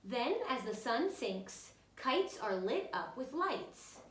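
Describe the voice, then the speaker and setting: A woman reads a children's picture book aloud in an echoey room. Her voice is fairly high and swings up and down in an animated way. She speaks as a teacher guiding young listeners along. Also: slow pace. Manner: expressive, instructive, addresses young listeners directly. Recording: roomy, echoey room sound.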